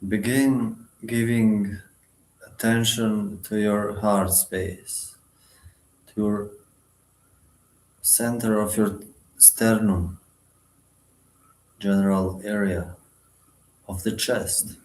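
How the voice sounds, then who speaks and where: Man, meditation guide, very slow delivery, short spaced-out phrases, roomy echoing sound. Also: on the low side, steady tone, a little mellow. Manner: sparse short phrases with long pauses. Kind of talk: guided meditation, spoken instructions.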